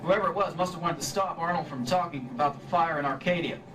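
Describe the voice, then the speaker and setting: An actor playing a cool, wry hard-boiled insurance investigator, narrating and talking in an old-time radio drama staged live. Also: male voice, on the high side, quick pace, music in the background, close to an everyday voice. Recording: distant live stage recording.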